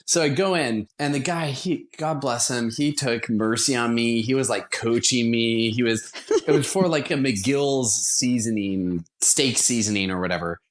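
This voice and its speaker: A man speaking as a guest actor, explaining things casually like an animated storyteller. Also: medium pitch, moderate pace, hardly any pauses. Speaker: guest, actor and theater producer.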